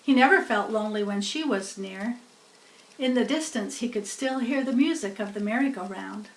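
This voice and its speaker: A woman's voice: a little high, moderate pace. A woman narrating a children's story as a storybook reader. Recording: roomy echo, distant from mic.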